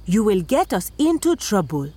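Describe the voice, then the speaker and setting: A woman's voice: medium pitch, big pitch swings, normal speed, dark tone, husky, voice pushed up. A woman voicing a sensible, cautious dog in a children's folktale, speaking in an earnest, admonishing way. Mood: concerned, admonishing. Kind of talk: animated children's storytelling.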